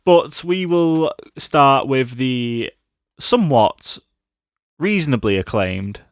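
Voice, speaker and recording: A man's voice: medium pitch, animated, takes it slowly, clean tone, no echo, clean studio sound. A British man co-hosting a podcast and chatting casually about films in a wry, joking way. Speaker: podcast co-host.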